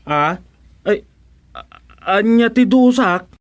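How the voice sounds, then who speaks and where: Slow, bewildered, hapless son. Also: male voice, high-pitched, expressive, over loud music, exaggerated voice. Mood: worried. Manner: hesitant, stammering, bewildered. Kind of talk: comedic radio drama acting.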